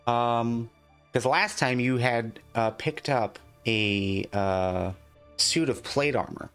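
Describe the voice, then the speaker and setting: A man improvising tabletop game narration as game master, speaking haltingly and often repeating words. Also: medium pitch, fairly bright tone, smooth, faint music behind.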